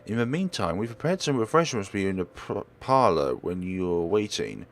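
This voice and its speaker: A man's voice: fairly low pitch, big pitch swings, moderate pace. A man voicing a young female real estate agent in a visual novel, earnest, insistent and protective. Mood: polite.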